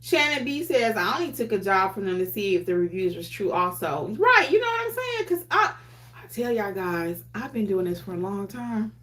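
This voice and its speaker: A woman hosting a notary training livestream, chatting candidly in casual slang, reading and answering viewer comments in a quiet voice. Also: mid-range voice, big pitch swings, normal speed. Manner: says she has her quiet voice on.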